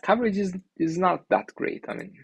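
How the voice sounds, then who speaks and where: A young man from Turkey with a Turkish accent, thinking aloud in halting, filler-heavy speech while he reviews an app on screen. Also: high-pitched, vocal fry, slightly rough, dry recording, dull audio. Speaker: indie maker reviewing an app. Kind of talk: casual screen-share commentary.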